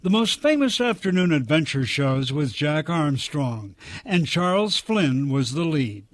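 Guy hosting a radio show, reading intros, bit high pitched, close dry sound. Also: very animated, a little slow, dry recording. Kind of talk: scripted narration.

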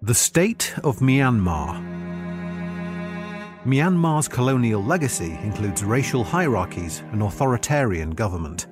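A man's voice: mid-range voice, big pitch swings, a little slow, over music. A man reading a magazine article aloud as scripted, documentary-style narration.

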